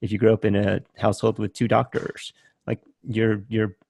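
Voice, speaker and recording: Man, forties, from vietnam, deep fry-edged voice, husky, casual musing talk, video call. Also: moderate pace, no echo. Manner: relaxed, thinking aloud with many "um" and "right?". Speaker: podcast host. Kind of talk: casual interview chat.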